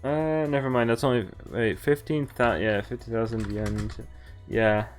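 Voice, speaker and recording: A man's voice: medium pitch, steady tone, moderate pace. A man streaming a visual novel, reading the game text aloud with casual, profane, laughing commentary. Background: faint game music.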